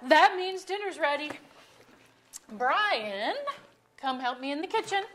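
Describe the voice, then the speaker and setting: Woman playing high-voiced, chatty middle-aged wife. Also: big pitch swings, slow, a bit bright, slight background noise, heavily acted. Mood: chatty, bright. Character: middle-aged married mother, earnest, chatty hostess. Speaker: actor in a staged play reading. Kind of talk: staged reading of a comedy. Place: museum auditorium stage.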